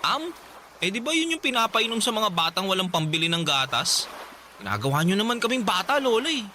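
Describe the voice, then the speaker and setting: A bitter filipino teen boy, high and really sharp voice, radio drama, faint noise. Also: sing-song, brisk, exaggerated voice. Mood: grumbling. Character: resentful, rebellious teenage older brother. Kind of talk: Tagalog radio drama acting.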